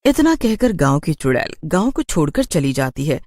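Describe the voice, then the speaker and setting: A man's voice: fairly high pitch, very animated, moderate pace, a little mellow, dry recording, studio quality. A man narrating a scripted cartoon horror story as its storyteller.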